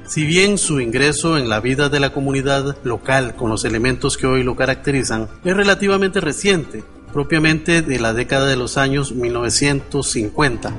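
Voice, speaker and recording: Un hombre que lee una narración documental preparada para un programa de radio, con tono formal y pausado. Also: male voice, medium pitch. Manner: formal, measured reading. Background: music.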